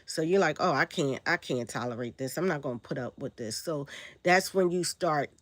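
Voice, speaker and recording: A woman from Brooklyn with a New York accent, hoarse and raspy from a sore throat, talking loosely on a live stream and often asking "right?". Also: low-pitched, normal speed, dry recording. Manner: rambling, loose, frequent "right?" and "like". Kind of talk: casual live-stream talk answering chat.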